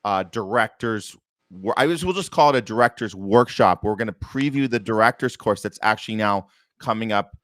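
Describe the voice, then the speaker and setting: A man's voice: on the low side, moderate pace, fairly bright tone, dry recording, studio quality. An American man hosting an arts community show, chatting informally and enthusiastically and making announcements. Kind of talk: casual chat with announcements.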